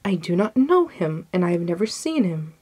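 A woman's voice: fairly low pitch, sing-song, moderate pace, dark tone, husky. A woman voicing a terrified male merchant in a storybook, imploring and protesting. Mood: frightened protest.

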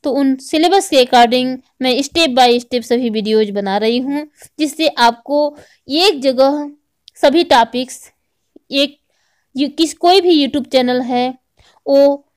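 A woman's voice: sits high, slow, clean tone. A woman narrating a lesson as a teacher, explaining step by step and repeating key points. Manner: didactic, repeats key points as questions and answers. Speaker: teacher, online exam-prep educator. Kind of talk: scripted lesson narration over slides.

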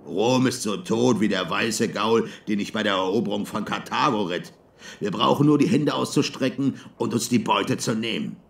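A man's voice: on the low side, moderate pace, gravelly. Man acting scornful, blustering barbarian warrior. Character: scornful, confident, gruff male barbarian warrior. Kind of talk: audiobook character acting.

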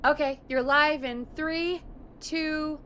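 An actress playing a perky, teasing radio producer in a video game, playful and bubbly. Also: female voice, sits high, fairly even intonation, slow pace, fairly bright tone, a little hiss or hum. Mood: upbeat, instructing. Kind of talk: game voice acting.

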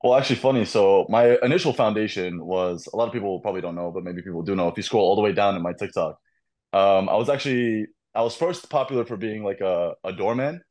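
A young man, a podcast guest and content creator, telling animated stories in casual chat over a video call. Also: on the low side, quick pace. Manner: animated storytelling, laughs often.

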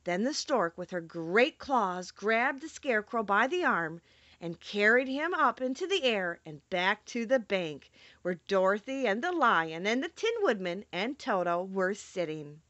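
A woman narrating a children's audiobook in an expressive storytelling style. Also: medium pitch, a little slow, no echo, dull audio.

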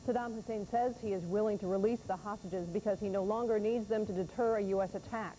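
A female news anchor reads in a mellow, medium-pitched voice. The studio recording carries the faint hiss of an old TV broadcast. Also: fairly even intonation, fast, poor audio. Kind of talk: scripted news reading.

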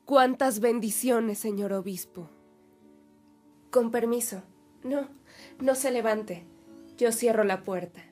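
A mellow-voiced, deferential assistant speaks respectfully in a radio drama, with faint music behind her. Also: fairly high pitch, takes it slowly, pauses often. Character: polite, deferential woman assisting a bishop.

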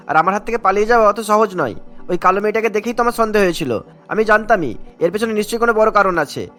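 A man plays a smug, commanding police officer in a cartoon. His voice is high for a man and he talks fast, in an assertive way, over faint music. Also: a bit bright. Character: confident male police officer confronting thieves, smug and commanding. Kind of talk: cartoon story dialogue.

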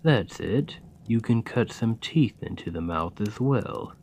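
A man playing a kind, patient gravedigger in a soft, soothing, encouraging ASMR roleplay. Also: lowish voice, lively intonation, slow, a little hiss or hum. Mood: gentle encouraging. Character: kind, gentle gravedigger, patient and playfully fond. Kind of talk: ASMR roleplay, scripted comforting monologue.

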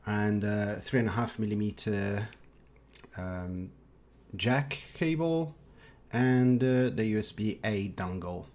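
Halting man giving a casual product review. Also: lowish voice, a little mellow. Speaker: tech reviewer. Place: small home office, indoors.

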